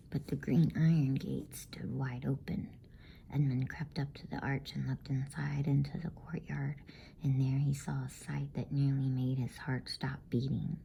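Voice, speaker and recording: A woman reading a storybook as ASMR narration in a hushed, soothing, unhurried whisper close to the microphone. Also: rumbling low, creaky, a little hiss or hum. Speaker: bedtime story reader.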